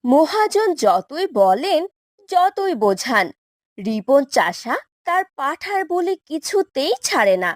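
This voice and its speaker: A woman's voice: high voice, very animated, slow, no echo, clean studio sound. A woman narrating a cartoon moral story in a scripted storybook style.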